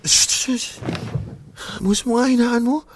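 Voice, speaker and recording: An actor playing a polite but exasperated young son in a radio drama. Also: male voice, very high-pitched, steady tone, measured pace, a little hiss or hum. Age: young adult. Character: flustered young man caught in the middle. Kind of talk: Filipino radio drama acting.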